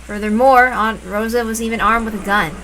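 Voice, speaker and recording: A woman reading a visual novel aloud as expressive first-person story narration, with emphatic openings to phrases. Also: on the high side, brisk. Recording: noticeable background noise.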